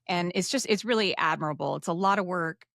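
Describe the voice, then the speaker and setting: A woman's voice: lowish voice, fast talker, vocal fry, fairly bright tone, dry recording, clean studio sound. A woman hosting a podcast, chatting casually in an effusive, encouraging way. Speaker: podcast host. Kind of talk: casual chat.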